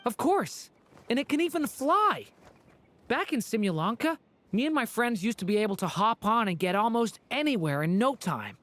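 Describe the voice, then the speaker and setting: A woman playing an upbeat, friendly young adventurer, encouraging and expressive in a video game. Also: fairly high pitch, moderate pace, no echo. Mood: cheerful, nostalgic. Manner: animated, encouraging.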